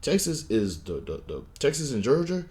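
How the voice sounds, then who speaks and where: A man's voice: mid-range voice, sing-song, moderate pace, a little mellow. A young man with a lisp and a stutter, rambling through a casual opinion monologue with many fillers and restarts. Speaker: wrestling vlogger.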